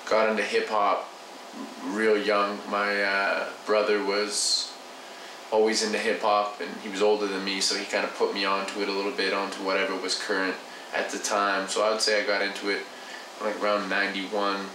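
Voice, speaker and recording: A man in his twenties from Canada, calmly talking as a hip hop artist in an interview. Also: lowish voice, moderate pace. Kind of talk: casual interview talk. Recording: roomy, distant mic, noisy.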